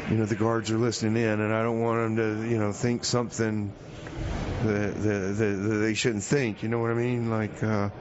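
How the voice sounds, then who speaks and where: An actor playing an easygoing male radio host stuck in jail, halting, rambling and folksy in a live comedy show. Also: fairly low pitch, little pitch movement, natural voice. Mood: flustered. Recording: muffled radio, noisy background.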